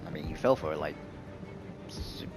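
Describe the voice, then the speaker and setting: A man's voice: rumbling low, expressive, normal speed, over music. A young man giving casual, slangy reaction commentary over a video game, with joking asides. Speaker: gaming video creator.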